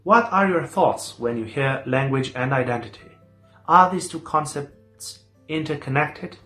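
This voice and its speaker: A young man from Romania in his twenties gives measured, reflective answers to an interviewer. A quiet guitar plays softly behind his voice. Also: a little high. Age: 20s.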